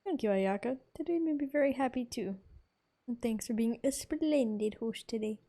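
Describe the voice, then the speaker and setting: A woman giving casual, relaxed commentary as she plays through a game, reading along and reacting. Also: fairly high pitch, normal speed. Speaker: game streamer.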